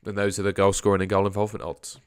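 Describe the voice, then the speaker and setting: A young man with a sore throat hosting a casual fantasy football live stream, hedging his picks and trailing off quietly at the ends of phrases. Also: deep voice, monotone, racing, clear, resonant tone, dry recording, clean studio sound. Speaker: fantasy football livestream host. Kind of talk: casual live stream chat answering viewers.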